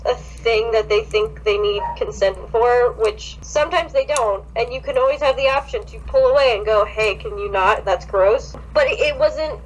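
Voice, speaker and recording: A woman's voice: a little high, moderate pace, few pauses, a little hiss or hum, rough recording. A young woman co-hosting a podcast, chatting casually with blunt, exasperated, sweary commentary. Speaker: podcast co-host. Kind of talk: casual chat, wry commentary.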